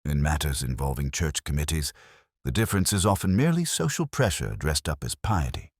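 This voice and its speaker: Man as a very deep, calm police inspector. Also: a little fast, breathy edge, no room sound, clean studio sound, exaggerated voice. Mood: gentle, dry. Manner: quiet, mild, gentle, precise.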